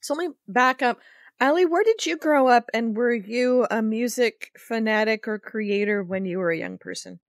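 A woman's voice: on the high side, moderate pace, no echo, studio quality. A woman hosting an interview, asking her guests questions. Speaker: host. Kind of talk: interview conversation.

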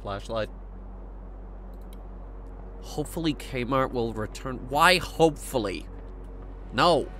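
A man's voice: medium pitch, lively intonation, takes it slowly, pauses often, pushed and bright, a little hiss or hum. A man doing casual live game commentary as a streamer, chatting to viewers in a wry, matter-of-fact way.

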